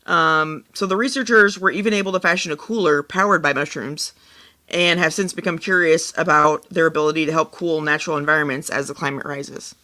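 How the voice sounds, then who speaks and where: A man's voice: a little high, steady tone, fairly quick, pushed and bright. A man co-hosting a podcast, relaying things in an informal way and thinking aloud as he goes. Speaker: podcast co-host. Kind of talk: casual chat, relaying a science story.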